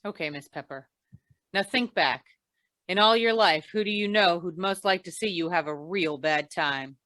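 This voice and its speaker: A woman playing a wry, shrewd hard-boiled insurance investigator in deadpan 1940s radio noir style. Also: on the low side, normal speed, no room sound, lightly acted. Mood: wry, probing.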